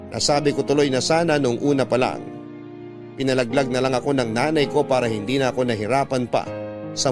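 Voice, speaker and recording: A man reading a first-person letter as a radio storyteller, with sentimental, measured phrasing. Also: medium pitch. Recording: music under the voice.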